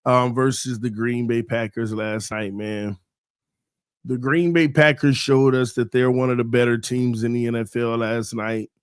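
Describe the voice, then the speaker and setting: A man's voice: mid-range voice, smooth, no echo, clean studio sound. A man hosting a solo live sports show in a sing-song, hyped morning delivery, saying he is losing his voice.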